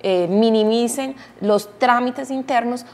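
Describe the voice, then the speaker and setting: A woman giving measured explanatory commentary as a programme guest. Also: on the high side, darkish tone. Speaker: studio guest, expert. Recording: reverberant room, faint noise.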